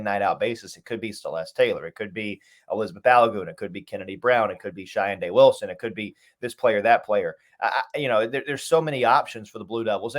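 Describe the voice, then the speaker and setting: A man speaking as a sports announcer and interview guest, talking conversationally and at length, repeating and listing points for emphasis. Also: fairly low pitch.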